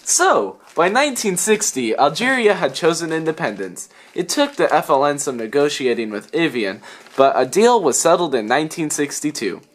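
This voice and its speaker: A man's voice: on the high side, very animated, normal speed. A young man reading scripted history narration for a student puppet show. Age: young adult.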